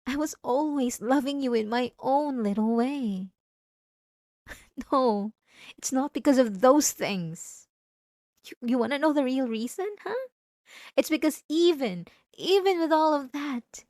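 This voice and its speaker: A woman playing a possessive, obsessive wife in a dramatic ASMR roleplay, speaking in an intimate, taunting and insistent way with drawn-out emphasis. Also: fairly high pitch, darkish tone, no echo, clean studio sound. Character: possessive, obsessive yandere wife, sweetly menacing and manipulative.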